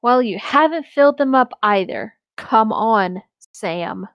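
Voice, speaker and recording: A woman's voice: fairly high pitch, expressive, slow pace, no room sound, muffled recording. A woman co-hosting a casual story reading, reading lines and adding teasing banter. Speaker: co-host reading story parts.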